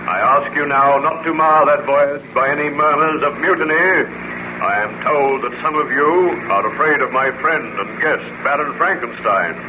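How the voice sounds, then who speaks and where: A man playing a firm, loyal sea captain with a formal, measured, commanding manner, in 1930s radio drama style. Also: on the high side, lightly acted. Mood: stern, appealing. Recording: dull, noisy 1930s radio recording.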